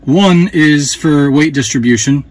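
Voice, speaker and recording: A man's voice: fairly high pitch, measured pace, dry recording. A man in his thirties walking through a hands-on tutorial outdoors, talking plainly into a phone camera held close, with fillers as he works. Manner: relaxed, plain-spoken, with fillers while working. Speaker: dealer host giving a how-to. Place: outdoors beside a jet ski.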